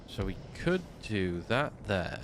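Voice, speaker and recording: Slow gravelly man with a snuffly cold. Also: fairly low pitch, sing-song, noisy background. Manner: slow, muttering to himself while building. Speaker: game streamer. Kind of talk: casual gameplay commentary.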